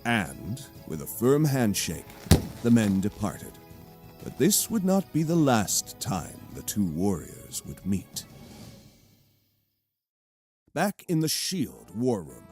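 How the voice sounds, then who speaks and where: A man's voice: fairly low pitch, big pitch swings, unhurried, a little mellow. A man narrating an audio drama in a theatrical, scripted storytelling style. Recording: cinematic music bed behind.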